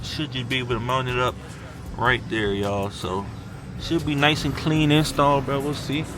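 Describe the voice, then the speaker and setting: A man's voice: medium pitch, normal speed, background noise. A man chatting casually to viewers as a vlogger, in a laid-back, slangy way with short repeated phrases. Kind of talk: casual car vlog chat.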